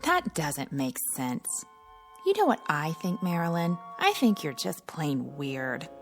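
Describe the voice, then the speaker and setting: An actress playing an insistent, incredulous young best friend who follows the crowd, in a radio drama. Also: female voice, deep voice, sing-song, slow pace, soft background music, heavily acted. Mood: exasperated. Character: pushy, baffled, conformist young female best friend.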